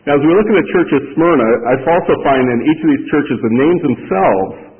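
A middle-aged man from Kansas preaching a conference sermon in a folksy, plain-spoken way, slowing down to read scripture aloud. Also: fairly high pitch, animated. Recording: tinny, far-off hall recording.

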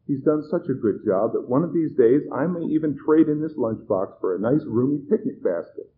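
A man's voice: mid-range voice, animated, normal speed, voice pushed up, close to an everyday voice. Man putting on a gushing, giggly plump woman. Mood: gushing, smug. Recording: muffled recording with background noise.

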